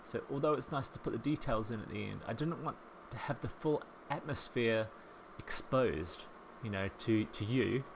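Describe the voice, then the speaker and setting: A man in his thirties with a soft New Zealand accent, chatting casually as a voice-over while he builds a home in a life-sim game, rambling and self-deprecating. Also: mid-range voice, moderate pace, vocal fry, hardly any pauses, background noise. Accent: soft New Zealand, softened by years in England. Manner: chatty, rambling, self-deprecating, with frequent ums and small laughs. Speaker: Sims speed-build creator.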